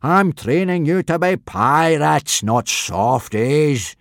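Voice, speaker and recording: A man puts on a bellowing Viking pirate teacher from a storybook, pitched up from his own voice and pushed loud. He drags his words out for weight, with a hearty, careless bluster. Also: lively intonation, slow pace, vocal fry, dry recording, studio quality, heavily acted. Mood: booming. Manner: booming, bellowing.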